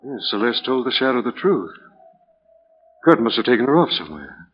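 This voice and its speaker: Gentleman sleuth played by a man, vintage radio mystery. Mid-pitched, urgent, authoritative, with hissy lo-fi broadcast sound. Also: big pitch swings, moderate pace, lightly acted. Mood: intent, investigating. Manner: urgent, decisive, earnest. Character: calm, commanding gentleman sleuth, protective of his companion. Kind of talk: 1940s radio mystery acting. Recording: muffled, noisy old 1940s radio recording.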